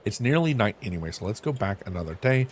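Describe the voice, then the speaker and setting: A man's voice: lowish voice, very animated, quick pace, a little hiss or hum. A young man streaming a game, reading the dialogue aloud and chatting in a loose, self-mocking way.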